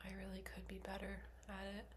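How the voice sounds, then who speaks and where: A woman in her twenties whispering in a soft, rambling ASMR monologue, full of fillers. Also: mid-range voice, completely flat delivery, quick pace. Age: 20s. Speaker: ASMR creator. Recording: faint background noise.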